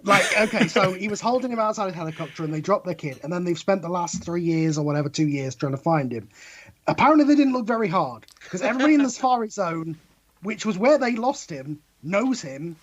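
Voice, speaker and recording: A man with a somewhat high voice talks casually as a guest on a podcast. His commentary is sardonic and joking, delivered loosely with casual swearing. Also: muffled recording.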